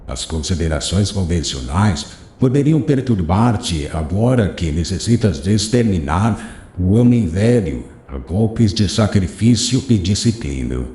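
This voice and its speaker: An actor playing a wise, gentle old master, giving slow, solemn, fatherly counsel in an audiobook. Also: sits low, expressive, reverberant. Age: older. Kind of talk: audiobook dialogue reading.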